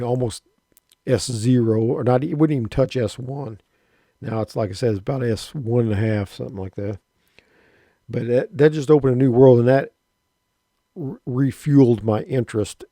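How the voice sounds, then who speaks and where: A man in his late fifties talks in a relaxed, rambling way, stopping and restarting as he remembers. His voice is dark and mellow. The recording is dry, with no room sound. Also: medium pitch, dry recording. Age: 50s. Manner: rambling, halting, many restarts. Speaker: shortwave radio hobbyist. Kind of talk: casual personal storytelling. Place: rural home.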